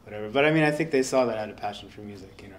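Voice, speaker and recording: Soft-spoken man with a lowish voice. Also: very fast. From United States. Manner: soft-spoken, rambling with many fillers. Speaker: interviewee, guitarist. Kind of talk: relaxed interview. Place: indoor workshop studio.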